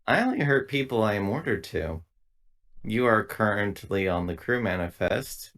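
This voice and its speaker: A man voicing a polite, dutiful female android in a tabletop game, speaking in formal, literal, measured phrases. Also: lowish voice, expressive, fairly bright tone. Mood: calm, dutiful.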